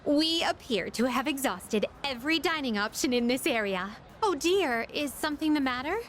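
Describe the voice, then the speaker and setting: A woman's voice: high voice, expressive, moderate pace, soft background music. A woman playing an elegant, formal and naively curious young lady in a video game, speaking in ornate, precise words. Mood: gracious, intrigued.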